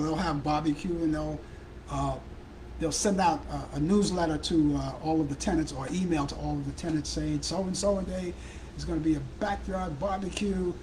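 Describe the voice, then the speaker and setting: A man from Brooklyn with a Brooklyn accent hosting a live talk show, chatting casually in a hoarse, worn-out voice. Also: fairly high pitch, a little mellow, slight background noise, low-quality recording. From Brooklyn, New York. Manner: rambling, chatty, addresses viewers and callers by name.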